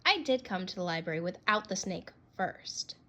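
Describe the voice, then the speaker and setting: A woman's voice: fairly low pitch, animated, moderate pace, bright, forceful tone, voice pushed down. A woman voicing a child who writes a grudging, earnest apology letter, read expressively as a storybook. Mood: earnest, self-justifying.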